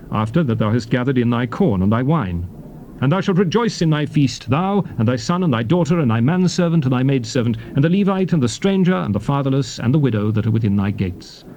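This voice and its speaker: An actor playing a solemn biblical lawgiver, declaiming scripture to his people in a formal, measured theatrical style. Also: mid-range voice, darkish tone, natural voice. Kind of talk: dramatized scripture reading, formal theatrical delivery. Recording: faint noisy ambience, cassette tape recording.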